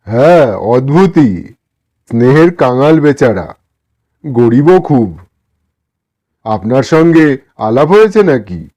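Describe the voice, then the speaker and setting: Guy doing a kindly lawyer, sympathetic, very slow talker, story dialogue. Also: mid-range voice, big pitch swings, mellow. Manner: sympathetic, measured.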